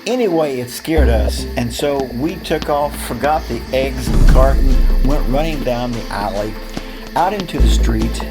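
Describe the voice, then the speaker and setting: American man telling a story over prominent music. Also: medium pitch. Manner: folksy, animated, acts out dialogue. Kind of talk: personal anecdote told aloud to listeners. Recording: music behind the voice.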